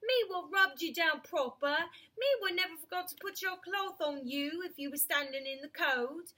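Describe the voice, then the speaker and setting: Storybook cabby, a woman playing him: friendly, blunt working man, homely speech, forceful bright delivery. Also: very high voice, moderate pace, voice pushed up. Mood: indignant. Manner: colloquial, hearty, emphatic. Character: plain-spoken, friendly working-class male London cab driver.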